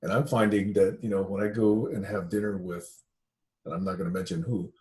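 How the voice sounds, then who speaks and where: Low-voiced man from Taiwan, musing aloud. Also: little pitch movement, a little mellow. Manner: thoughtful, storytelling, with pauses and fillers. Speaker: discussion participant. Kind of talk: reflective group conversation.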